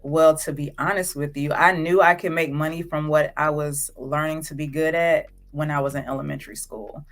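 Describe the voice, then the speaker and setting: A woman from Georgia in the United States, a visual artist who is a podcast guest, telling stories in a relaxed, chatty way with casual slang. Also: deep voice, moderate pace, hardly any pauses, soft background music. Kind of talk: casual interview.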